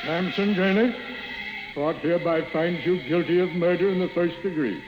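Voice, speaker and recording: A man's voice: high-pitched, moderate pace, lo-fi sound, loud music behind the voice, lightly acted. An actor playing a stern, formal male judge, solemn and declamatory, in 1950s radio drama style. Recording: reverberant hall, old radio recording.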